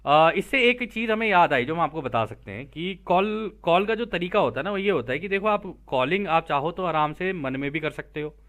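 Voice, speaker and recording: A man's voice: on the high side, animated, normal speed, few pauses, fairly bright tone. A man teaching spiritual material, reading aloud and explaining it informally, checking in with listeners through rhetorical questions.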